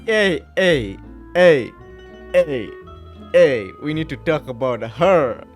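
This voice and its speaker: A man's voice: fairly high pitch, expressive, takes it slowly. A man giving casual, rambling playthrough commentary as a gaming streamer, with murmured asides. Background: game music.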